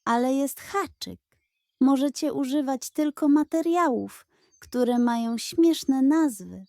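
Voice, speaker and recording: Woman voicing a kind, unhurried little puppy. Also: sits high, no room sound, studio quality, pitched above the performer's own voice. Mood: playful, encouraging. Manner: gentle, earnest, playful. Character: kind, wise little male puppy, a peacemaker.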